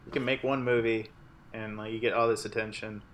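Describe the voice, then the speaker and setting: A man's voice: lowish voice, flat delivery, a little fast, a bit bright, a little hiss or hum. A man co-hosting a casual movie podcast, talking in a rambling way. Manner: rambling, many fillers and restarts. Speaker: podcast co-host.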